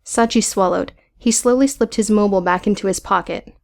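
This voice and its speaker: A woman's voice: mid-range voice, fairly quick, creaky. A woman reading scripted, dramatic audiobook narration.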